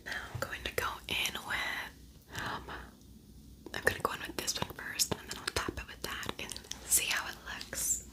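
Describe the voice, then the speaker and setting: A woman whispering softly and intimately in a breathy voice, chatting casually through an ASMR makeup tutorial and often repeating words. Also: normal speed.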